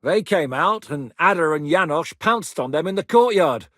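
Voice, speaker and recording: A man's voice: a little high, animated, normal speed, fairly bright tone, dry recording, studio quality. An actor voicing a blunt, battle-hardened male rebel commander in a game, giving plain talk and bellowed battle orders. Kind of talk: game voice acting.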